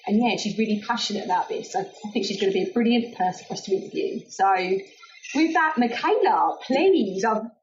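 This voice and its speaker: A woman hosts a casual interview podcast. She is informal and encouraging, with a mid-pitched voice. The recording is a little dull and lo-fi. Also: expressive, a little fast, slight background noise. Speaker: podcast host.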